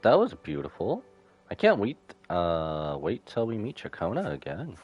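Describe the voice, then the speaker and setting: Low-voiced, lively male streamer rambling casually. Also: moderate pace, breathy edge, lo-fi sound. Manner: rambling, loosely thinking aloud. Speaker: live game streamer. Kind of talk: casual livestream commentary. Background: music.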